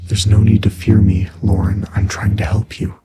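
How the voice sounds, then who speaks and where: An actor voicing a mysterious, gentle shadow spirit in an audio drama, with a breathy, raspy, soft-spoken and measured delivery. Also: male voice, fairly low pitch, completely flat delivery, noisy background, close to an everyday voice. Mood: calm, reassuring.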